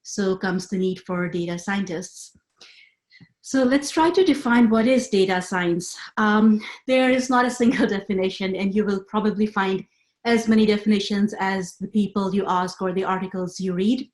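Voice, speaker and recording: A woman in her thirties, originally from Pakistan, giving a webinar talk over a computer mic. Her delivery is clear and measured, with a lecturing pace and frequent "um"s. The room around her is noticeably echoey. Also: medium pitch, darkish tone. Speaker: webinar speaker.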